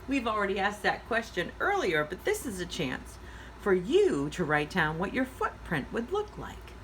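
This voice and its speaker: A woman hosting a story time for young children, giving simple instructions and asking encouraging questions in a deliberate, sing-song way. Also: medium pitch, huge pitch swings, a bit bright, slight background noise, poor audio.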